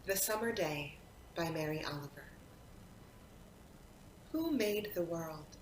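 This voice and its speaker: A woman reciting poetry expressively, in a deliberate manner with dramatic pauses. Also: lively intonation. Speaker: poetry reader, actor. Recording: roomy echo, faint rumbling background noise.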